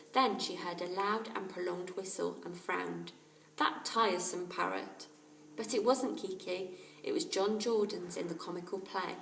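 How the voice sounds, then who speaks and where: A woman reading a children's story aloud in an expressive, animated narrator's style. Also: mid-range voice, creaky, a little hiss or hum. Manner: animated character voices and exclamations. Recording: roomy echo, distant microphone.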